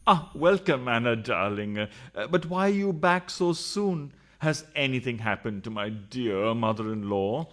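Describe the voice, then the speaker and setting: An actor playing a scheming, flustered husband in a radio farce, hesitant and stammering as he smooth-talks and evades. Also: mid-range voice, lively intonation, moderate pace, hardly any pauses, close to an everyday voice. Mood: flustered, placating. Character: scheming, flustered husband hiding his mistress, placating and evasive.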